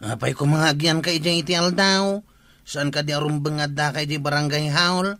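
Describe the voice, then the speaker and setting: Casting: male actor for nagging barangay official, Ilocano accent, radio comedy. Forceful, slightly high, exasperated scolding. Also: brisk. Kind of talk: comedic Filipino radio drama acting.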